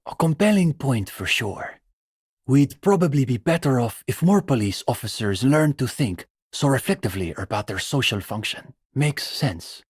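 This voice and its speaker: An actor playing an earnest, pretentious young communist student intellectual with a bookish, opinionated way of talking, in a video game. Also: male voice, mid-range voice, animated, moderate pace, husky, no room sound, studio quality. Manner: bookish, opinionated, academic phrasing. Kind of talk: video game character dialogue.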